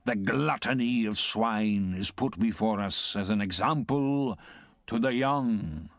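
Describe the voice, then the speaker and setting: A man voicing a severe, sanctimonious would-be preacher who moralizes in drawn-out phrases. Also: on the low side, no echo, pitched above the performer's own voice. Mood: moralizing.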